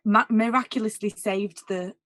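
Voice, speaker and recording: A woman jazz singer with a naturally husky voice, talking candidly in a casual interview, full of "like" and "you know". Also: medium pitch, steady tone, normal speed, fairly bright tone, no echo. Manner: candid and emotional, many "like" and "you know" fillers.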